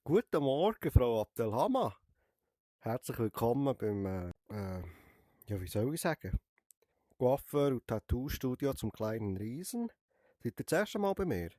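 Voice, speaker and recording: A man's voice: medium pitch, very animated, measured pace, mellow, dry recording, studio quality. A young man with a Swiss German accent, nursing a cold, chatting playfully in character as a barber while playing a game, teasing and tagging sentences with a questioning "hä?". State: has a cold. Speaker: gamer streaming a VR barber game. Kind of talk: playful in-character gameplay commentary.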